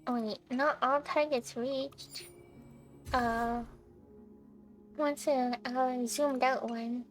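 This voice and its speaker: A woman streaming a game with casual, excitable reaction commentary full of exclamations. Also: high voice, very slow, low-quality recording. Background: game music.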